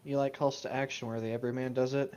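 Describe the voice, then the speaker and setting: A man's voice: medium pitch, flat delivery, quick pace, a little mellow. Man chatting casually on a dull mic. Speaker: podcast co-host. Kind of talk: casual chat commentary.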